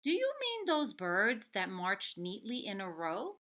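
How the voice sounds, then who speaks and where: An odd, cheerfully oblivious storybook penguin, played by a woman reading aloud. The voice is bright and blaring, swinging exuberantly up and down. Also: mid-range voice, wildly swooping pitch, measured pace. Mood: loud, oblivious. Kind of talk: children's picture-book character.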